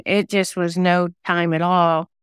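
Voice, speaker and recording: A woman with a fairly low, strikingly clean and resonant voice speaks as a guest in an interview. She is reflective and explains things through personal anecdotes. The sound is dry, with very little room in it. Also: level tone, unhurried, clear, resonant tone, no echo. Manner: thoughtful, anecdotal storytelling. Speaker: singer-songwriter and novelist guest; former radio DJ.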